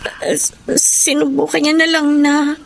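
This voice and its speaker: A woman's voice: high voice, flat delivery, measured pace, gravelly. An actress playing an apologetic, self-blaming young wife and mother with a Filipino accent, respectful and earnest in a TV drama. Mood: sorrowful. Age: young adult. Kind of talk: naturalistic TV drama acting. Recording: faint background noise, location ambience.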